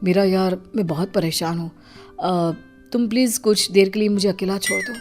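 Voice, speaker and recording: A woman's voice: medium pitch, steady tone, normal speed, music in the background, lightly acted. A woman voicing a troubled, manipulative young husband in a story, sulky and complaining. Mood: feigned distress.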